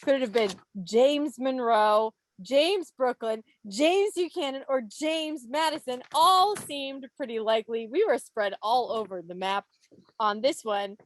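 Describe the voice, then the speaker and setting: A woman with a high voice hosts a quiz over a video call. She takes her time, lingering on names and answers. Her delivery is playful and expressive, mixing read questions with chatty asides. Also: a little slow, a little hiss or hum. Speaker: quiz host at a club meeting. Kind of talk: animated quiz reading with casual asides. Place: online video meeting. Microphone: video call microphone.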